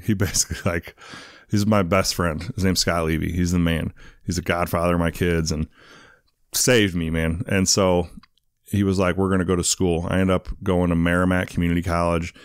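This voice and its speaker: A man's voice: low voice, level tone, normal speed, smooth, no echo, clean studio sound. A man telling his life story as a guest in a casual interview, rambling with lots of fillers. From England. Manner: rambling, many fillers, "you know what I mean".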